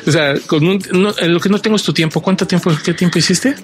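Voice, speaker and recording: A man with a Mexican accent hosting a conversational radio interview, wordy and halting as he corrects himself. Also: high voice, slight background noise. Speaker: radio show host.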